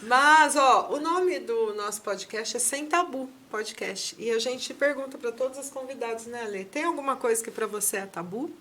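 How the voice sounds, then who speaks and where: A Brazilian woman co-hosting a podcast, outspoken and quick with interjections. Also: on the high side, sharp tone, slight background noise. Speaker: podcast co-host. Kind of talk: casual chat.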